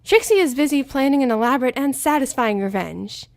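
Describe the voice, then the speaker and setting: A woman's voice: sits high, lively intonation, fairly quick, no echo, studio quality. A woman voicing a haughty, vengeful female show pony magician who speaks of herself in the third person.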